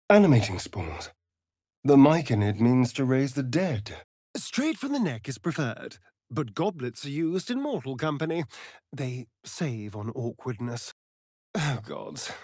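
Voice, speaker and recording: A man's voice: on the high side, no room sound, studio quality, exaggerated voice. A man playing a vain, sardonic aristocratic vampire rogue in a video game, with a British accent and an arch, theatrical drawl. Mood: disdainful, resigned. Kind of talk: video game character acting.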